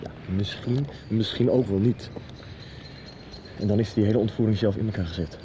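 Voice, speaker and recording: A man's voice: fairly low pitch, normal speed, mellow, highs cut off, lightly acted. An actor playing a sober, matter-of-fact man on a search in a radio drama. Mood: sceptical. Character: sober male companion on an amateur search. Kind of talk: 1990s radio drama acting. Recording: clearly noisy background ambience.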